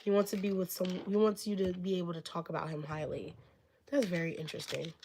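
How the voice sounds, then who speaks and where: A woman's voice: lowish voice, level tone, fast talker, a little mellow, a little hiss or hum. A woman reading tarot on a live stream, rambling with many filler words and losing her voice from being sick. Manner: gushing thanks to viewers. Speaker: tarot reader.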